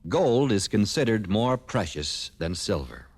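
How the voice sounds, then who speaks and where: A man's voice: fairly low pitch, very animated, unhurried, breathy edge, no echo, faint music behind. A man with an American accent reading a scripted informational piece as a radio announcer.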